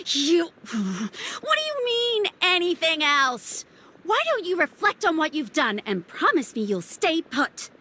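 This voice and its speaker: A woman's voice: high voice, huge pitch swings, slow, sharp tone, slight background noise, heavily acted. A woman playing a fretful young doctor who scolds as a younger sister, exasperated and emphatic, in a video game. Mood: upset, stern.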